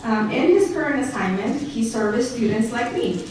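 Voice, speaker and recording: A woman's voice: on the high side, normal speed, a little mellow, slight background noise. A middle-aged woman from Mexico with a Mexican Spanish accent, a school district director giving an award introduction at a banquet. She reads prepared remarks and adds joking asides to the audience. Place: large banquet hall. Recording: echoey hall recorded from far off.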